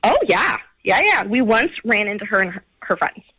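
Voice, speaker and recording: A woman caller with a husky voice, telling a personal story in a casual, halting, confiding way. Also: medium pitch, big pitch swings, pushed and bright, no room sound, poor audio. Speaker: caller to a radio show.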